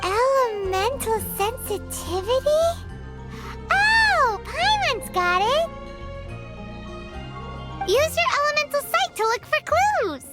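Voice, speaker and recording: An actress voicing a tiny, excitable female fairy companion in a video game who talks about herself in the third person. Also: takes it slowly, pauses often, heavily acted. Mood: chattering. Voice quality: very high, squeaky. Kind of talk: game voice acting. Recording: game audio with music behind.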